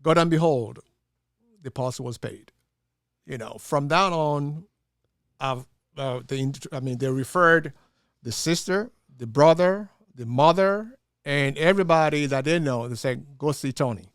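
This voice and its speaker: A man's voice: a little high, unhurried, clear, resonant tone, no echo. Man chatting casually in a dry room. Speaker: insurance agent, interview guest. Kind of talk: casual conversational anecdote.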